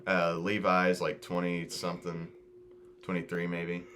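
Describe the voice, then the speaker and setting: A man's voice: deep voice, monotone, measured pace, a bit bright. An eighteen-year-old man hosting a casual video chat, telling stories with friends in a slangy, informal way. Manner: slangy, informal, says dude and bro often. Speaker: host of a video podcast. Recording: noisy home-made recording.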